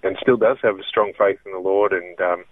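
A man's voice: low voice, fairly even intonation, no echo. An Australian man from Melbourne, a comedian and impressionist, speaking earnestly in a casual interview, often restarting his sentences. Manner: earnest, halting, many restarts. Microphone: phone. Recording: tinny dry phone line.

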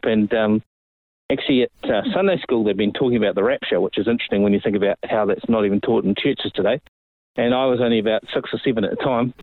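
A New man in his fifties, speaking in a somewhat low voice as an interview guest, unhurried and full of pauses and fillers. His voice comes through a narrow, phone-like line with no room sound around it. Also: dry recording. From New Zealand. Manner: relaxed, many pauses and fillers. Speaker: ministry guest. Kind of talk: casual interview storytelling.

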